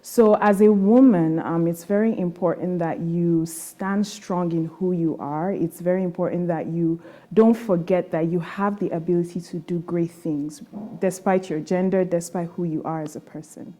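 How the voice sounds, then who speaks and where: Firm, encouraging woman with a warm tone. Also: on the low side, steady tone, moderate pace. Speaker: business owner. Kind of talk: interview answers.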